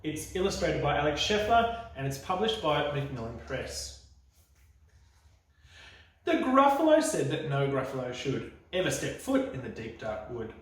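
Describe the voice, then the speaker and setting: A man reading a children's storybook aloud in an expressive, emphatic, dramatic style. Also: a little high, unhurried. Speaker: school storytime reader. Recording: echoey room, distant mic.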